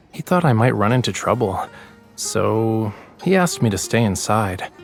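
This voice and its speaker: Romance game lead: young male artist, playful and languid, soft low delivery, faint music underneath. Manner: soft, languid, unhurried, teasing. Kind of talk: romance game character dialogue. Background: background music with guitar.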